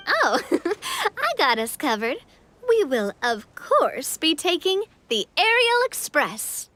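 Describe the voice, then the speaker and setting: A woman's voice: high voice, huge pitch swings, takes it slowly, bright, forceful tone, exaggerated voice. A woman playing a confident, playful young female adventurer in a video game, casual and teasing. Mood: playful, proud. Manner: casual, teasing, self-assured.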